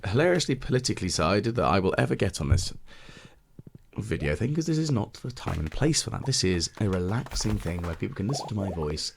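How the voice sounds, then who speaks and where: Deep-voiced British man, relaxed gameplay rambling. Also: a little fast, vocal fry, a little hiss or hum. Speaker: let's play video host.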